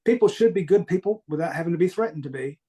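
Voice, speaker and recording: A man with a mild Southern US accent, speaking earnestly and haltingly as he reflects on his own experiences in a conversational interview. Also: on the high side, lively intonation, warm tone. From American Deep South. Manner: earnest, halting, repeats phrases for emphasis. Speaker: podcast guest recounting paranormal experiences.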